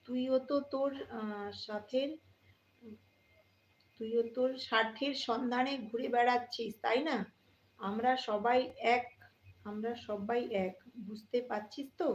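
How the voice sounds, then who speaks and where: A woman's voice: a little high, fairly even intonation, measured pace, long pauses. A woman chats casually as a live stream host, addressing viewers directly and often repeating her phrases. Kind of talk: casual live chat, greeting viewers by name. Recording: dull lo-fi, roomy echo, faint noise.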